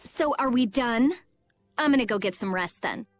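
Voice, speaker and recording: A skeptical teenage girl acted by a woman in a video game, impatient and sarcastic. Her voice is fairly high and critical, with faint music behind it. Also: animated, normal speed, dry recording, lightly acted. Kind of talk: animated RPG video game dub. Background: faint background music.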